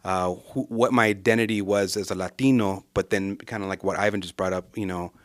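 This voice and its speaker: A man from Southern California speaks in a low, fairly deep voice, dry and close with almost no room sound. He reflects slowly on his own story as a guest in a casual chat, pausing and searching for his words. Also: fairly even intonation, no echo. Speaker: guest, ministry association director. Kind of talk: casual conversation, personal story.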